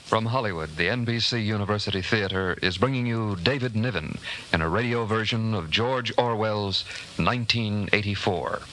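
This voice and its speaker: Bright, crisp-toned 1940s male announcer. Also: lowish voice, moderate pace, poor audio. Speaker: radio announcer. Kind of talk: formal 1940s broadcast announcement. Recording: old radio recording with hiss.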